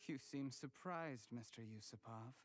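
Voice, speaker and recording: Guy voicing a smug schemer in a game, mid pitch, cold and calm, very deliberate, quietly threatening. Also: natural voice. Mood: bitter, cold. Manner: composed, smug, deliberate menace. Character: cold, calculating poisoner and scheming usurper. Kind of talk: video game voice acting.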